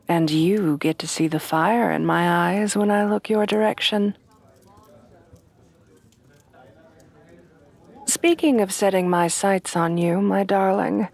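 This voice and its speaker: A woman voicing a refined, devoted headless horsewoman bride in a soft, breathy, courtly ASMR roleplay, often dropping to whispers. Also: fairly low pitch, dry recording. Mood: tender, flirtatious. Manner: refined, formal, courtly, tenderly doting.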